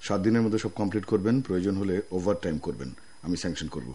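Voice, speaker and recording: A man with a Bangladeshi accent playing a courteous, firm new office manager in a radio drama, with a husky voice. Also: deep voice, animated, racing, warm tone. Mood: firm, businesslike. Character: newly appointed office manager and writer, courteous, firm, devoted son. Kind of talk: Bengali radio drama acting.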